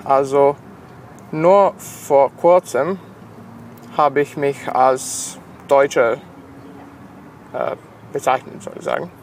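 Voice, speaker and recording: A man of twenty-five, a native Serbian speaker with a Serbian accent, answers interview questions haltingly, searching for words in a second language. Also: mid-range voice, slight background noise. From Sombor, Serbia. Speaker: interview guest, engineering student.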